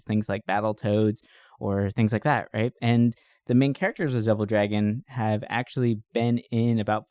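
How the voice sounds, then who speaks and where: A man's voice: medium pitch, a little mellow, no echo. Guy podcast host, casual and slangy, really into it, dry close sound. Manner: enthusiastic, informal, slangy. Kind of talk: casual movie review chat.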